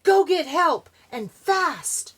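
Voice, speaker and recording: A woman's voice: very high voice, big pitch swings, drawn-out words, bright, forceful tone, pitched above the performer's own voice, full character voice. A woman voicing a brave, kind young boy in a storybook, speaking earnestly and emphatically. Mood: urgent.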